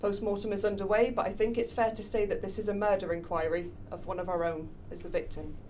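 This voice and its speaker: A woman's voice: medium pitch, steady tone, fast talker, a little mellow, slight background noise, lightly acted. An actress playing a female police detective giving a methodical, matter-of-fact case summary. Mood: serious. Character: female police detective reporting to her boss.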